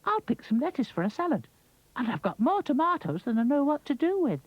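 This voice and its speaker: A man's voice: very high-pitched, sing-song, brisk, no room sound, highs cut off, voice pushed up, heavily acted. A man voicing a cheerful, friendly young female toy gardener. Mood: cheery.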